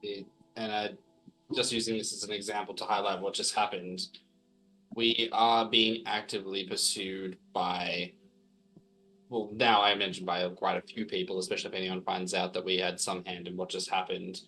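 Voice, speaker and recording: A man playing a tabletop game, talking things through in a measured, tentative way. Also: low voice, level tone, bright, forceful tone, slightly rough, rough recording. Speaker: player in a tabletop roleplaying game. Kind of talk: casual in-character discussion.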